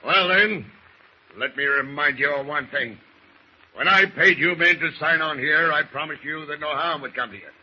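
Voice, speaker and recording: An actor playing a scheming, folksy seafaring ship's master who speaks in salty dialect in an old radio drama. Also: male voice, on the high side, normal speed, breathy edge. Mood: angry, commanding. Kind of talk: 1940s radio drama acting. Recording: muffled, noisy old radio recording.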